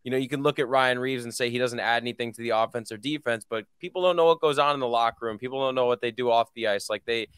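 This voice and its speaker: A young man co-hosting a podcast over a video call. He jumps in quickly and eagerly to back up a point. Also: mid-range voice, fairly bright tone, clean tone, no echo. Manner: quick and eager, jumping in to agree. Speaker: podcast co-host. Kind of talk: casual podcast chat.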